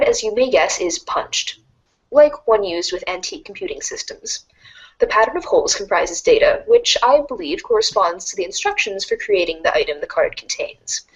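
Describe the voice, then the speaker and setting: Woman as a wry, bookish girl, lively pitch. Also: husky, rough recording. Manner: formal, precise, dryly instructive. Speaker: narrator. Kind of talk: scripted read-along storybook narration.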